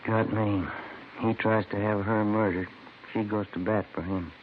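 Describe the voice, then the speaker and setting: An American actor playing a weary, matter-of-fact police detective in a 1950s radio drama. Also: male voice, on the low side, steady tone, measured pace, close to an everyday voice. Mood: wry, musing. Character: weary, flat-voiced male police detective partner. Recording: muffled, hissy 1950s radio recording.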